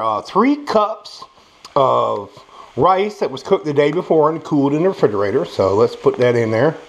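A man's voice: fairly high pitch, vocal fry. A man with toothless speech giving a casual, folksy cooking demonstration as a home cook. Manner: folksy, savoring murmurs. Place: small home kitchen, indoors. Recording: noisy kitchen with frying sizzle. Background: frying food, clicks.

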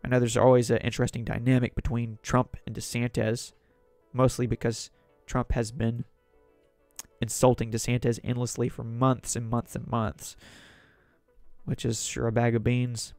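Solo podcast host, man, going hoarse, rambles through news articles from a desk mic, dry close sound with faint music under. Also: mid-range voice, completely flat delivery, moderate pace, darkish tone, dry recording. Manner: rambling, conversational, reads articles aloud. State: sore throat, losing voice. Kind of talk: solo political commentary.

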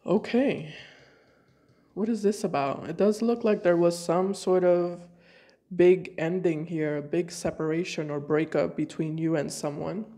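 A woman's voice: on the low side, fairly even intonation, unhurried, mellow. A woman reading tarot cards for her viewers, talking casually and thinking aloud with hesitant restarts, while sick with a cold. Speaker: tarot reader. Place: home room with decorated card table.

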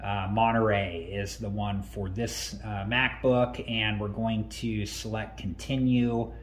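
A man hosting a tech tutorial, calmly talking through each step on screen with frequent ums and uhs. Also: lowish voice, takes it slowly. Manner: relaxed, many ums and uhs.

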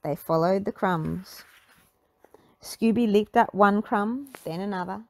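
A woman narrating a children's storybook in an animated read-aloud style. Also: mid-range voice, takes it slowly, no room sound.

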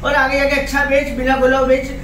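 Middle-aged male wholesaler, colloquial persuasive patter, recorded at a distance with clear background noise. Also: very high voice, completely flat delivery, fairly quick, sharp tone. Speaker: secondhand phone wholesaler. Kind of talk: informal sales pitch to camera. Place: small office room, indoors. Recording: echoey room, distant mic, noisy background.

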